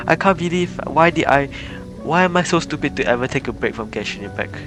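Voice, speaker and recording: A man's voice: a little high, lively intonation, normal speed. Rambling male game streamer. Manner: rambling, giggly. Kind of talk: casual live gameplay commentary. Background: game music.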